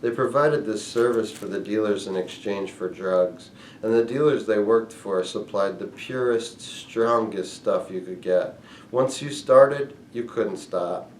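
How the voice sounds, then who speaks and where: A man with a fairly low voice reads aloud from a memoir. He sets out each phrase in a measured, deliberate way. The recording has a clearly echoey, roomy sound. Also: darkish tone, far from the mic, slight background noise. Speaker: book reader and reviewer. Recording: echoey room.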